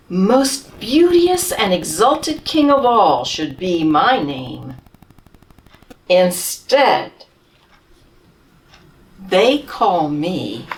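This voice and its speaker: Pompous whiner of a male camel, read by a woman from a storybook, slow, lively pitch, mic at a distance. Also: heavily acted. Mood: grandiose. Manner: grandiose, whining, theatrical complaints. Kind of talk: storybook reading.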